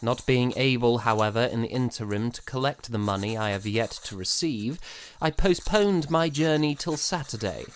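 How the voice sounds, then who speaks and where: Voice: medium pitch, normal speed, natural voice. An actor playing an aggrieved, spirited young composer of the 1780s in a florid, theatrical period audio drama style. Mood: wistful, earnest. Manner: theatrical, florid, hesitant sputtering. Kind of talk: period audio drama acting, letter narration.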